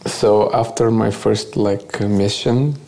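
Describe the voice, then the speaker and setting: A man's voice: fairly low pitch, fairly even intonation, takes it slowly, gravelly, warm tone, low-quality recording. A man with a Bulgarian accent, a non-native speaker searching for words as he recounts his experiences in a conversational interview. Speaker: interview guest, volunteer activist.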